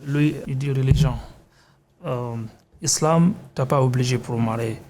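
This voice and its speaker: A man's voice: mid-range voice, a little slow, a little mellow, a little hiss or hum. Young man explaining in non-native French. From Senegal and Gambia. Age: young adult. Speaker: vocational student. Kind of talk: classroom debate.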